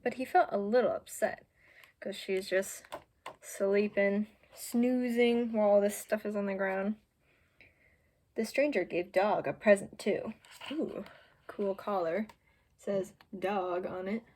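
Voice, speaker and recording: A woman's voice: mid-range voice, fairly even intonation, takes it slowly, darkish tone. A young woman in her teens reading a children's picture book aloud, adding chatty, informal asides for young listeners. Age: teenager. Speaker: student volunteer reader.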